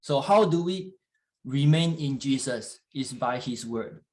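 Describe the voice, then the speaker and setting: A man preaching in English, which is not his first language, pausing often with fillers and restarts while an interpreter translates. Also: medium pitch, expressive, darkish tone. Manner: halting, with frequent fillers and restarts. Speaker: guest church leader. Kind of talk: sermon in English with live interpretation.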